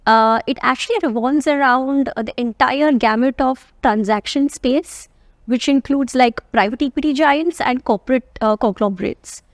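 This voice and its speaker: A woman with an Indian accent speaks as a guest in a casual interview. Her voice is fairly high, recorded close with very little room sound. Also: moderate pace, no echo. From Mumbai, India. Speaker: guest, tax advisory manager.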